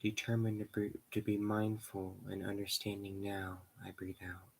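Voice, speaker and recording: Low, even-voiced man guiding meditation. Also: a little hiss or hum, rough recording. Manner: slow, measured, pausing between short phrases. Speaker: guided meditation leader. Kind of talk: guided meditation.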